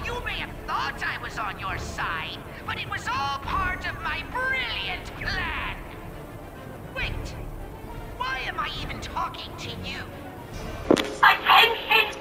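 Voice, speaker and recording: A man voicing a short, petulant, megalomaniac sorcerer villain in a video game, bombastic, taunting and shrill. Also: heavily acted, poor audio. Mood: scornful, irritated, then gloating. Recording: loud game music and sound effects behind.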